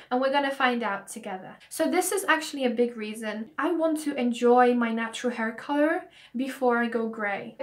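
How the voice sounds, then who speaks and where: A young woman talks straight to her camera at home, chatty and earnest as she lays out her reasons. Her voice sits a little high, but hay fever has left it croaky. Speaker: beauty vlogger. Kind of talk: casual talking-to-camera vlog.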